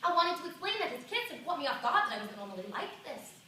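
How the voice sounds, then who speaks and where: A woman's voice: high voice, very animated, bright, forceful tone, voice pushed up, heavily acted. Woman acting a reticent, exasperated elderly lady, echoey stage. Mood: embarrassed, regretful. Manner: halting, dramatic, colloquial, self-interrupting. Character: reticent, exasperated seventy-two-year-old New York woman reminiscing. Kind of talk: theatrical stage monologue. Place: small indoor theatre stage. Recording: echoey theatre, far from the mic, faint noise.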